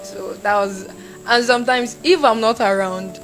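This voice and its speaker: A woman's voice: a little high, moderate pace, soft background music. Young Nigerian woman in an interview. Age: young adult. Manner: animated, acts out shouted quotes. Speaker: interviewee, university student. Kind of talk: casual interview.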